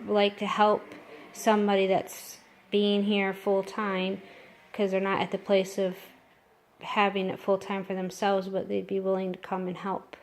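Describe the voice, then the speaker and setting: A woman's voice: medium pitch, slight background noise, poor audio. A middle-aged American woman from Detroit hosting an informal interview and making an appeal to listeners. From Detroit, United States. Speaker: host, interviewer.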